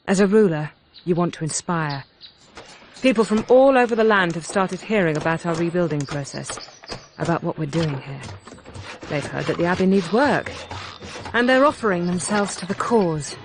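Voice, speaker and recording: An actress playing a grave, hopeful noblewoman ruler, narrating game cutscenes in a solemn, measured, earnest way. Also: on the low side, slight background noise. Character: grave, hopeful noblewoman ruler rebuilding her war-torn land. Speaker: campaign narrator. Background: background music.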